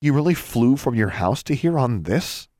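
A man's voice: medium pitch, big pitch swings, normal speed, dark tone, dry recording, studio quality, voice pushed up, lightly acted. A man voicing an exasperated teenage boy who talks bluntly to a friend.

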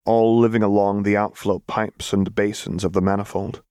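A man reading fiction aloud as an audiobook narrator, with expressive scripted delivery. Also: lowish voice, moderate pace, clear, resonant tone, no echo, clean studio sound.

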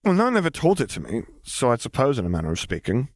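Man voicing an odd, blunt, high-voiced young girl. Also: quick pace, no echo, studio quality. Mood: matter-of-fact. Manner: deadpan, self-assured, explanatory. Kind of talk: audiobook character voice.

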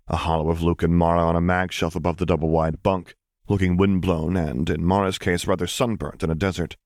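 A man's voice: low-pitched, level tone, brisk, a little mellow, no echo, clean studio sound. A man reading a scripted story as an audiobook narrator.

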